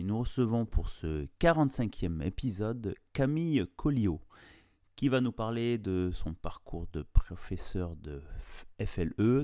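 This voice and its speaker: A man's voice: on the low side, darkish tone, dry recording, studio quality. A man hosting a podcast, reading a friendly intro and guiding the interview. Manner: friendly, slightly scripted intro. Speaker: podcast host.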